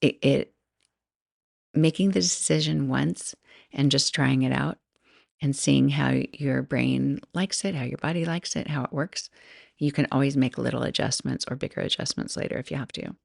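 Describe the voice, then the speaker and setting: A woman's voice: very deep voice, moderate pace, creaky, a little mellow, dry recording, studio quality. A middle-aged woman hosting a solo podcast, chatting casually and rambling, often laughing and interrupting herself. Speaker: podcast host.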